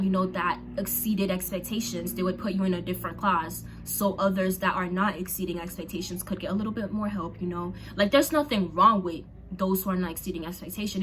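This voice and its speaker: A young woman from Haiti whose first languages were Creole and French, chatting casually to camera in an expressive, slangy way. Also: fairly low pitch, a little hiss or hum. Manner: chatty and expressive, slangy, lots of fillers and exclamations. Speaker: vlogger. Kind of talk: casual storytime chat to camera.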